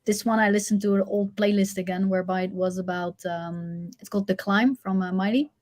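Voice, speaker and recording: A woman's voice: mid-range voice, fairly even intonation, fairly quick, a little mellow. A woman from the Netherlands with a mixed Dutch and international accent, a guest chatting casually with many fillers and restarts. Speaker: agency CEO guest.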